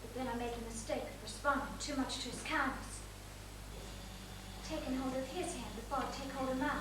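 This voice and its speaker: A woman playing a fragile, troubled young woman in an amateur stage play, speaking in a dramatic, halting way. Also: high voice, natural voice. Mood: wistful, reflective. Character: fragile, troubled young woman recounting last summer's trauma. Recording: echoey theatre stage, distant mic, noisy.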